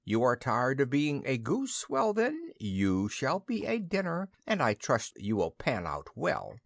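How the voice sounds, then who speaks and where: A man's voice: medium pitch, wildly swooping pitch, unhurried, creaky, dry recording, studio quality, pitched above the performer's own voice, exaggerated voice. A man voicing an old, scheming witch who sneers and snickers. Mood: mocking.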